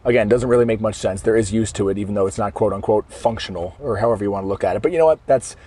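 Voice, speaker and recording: A hoarse man hosting a fitness podcast from his car in traffic, explaining things casually and often correcting himself mid-sentence. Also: on the low side, very fast, mellow. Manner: conversational, explaining step by step with frequent self-corrections. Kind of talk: casual solo podcast monologue. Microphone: podcast microphone.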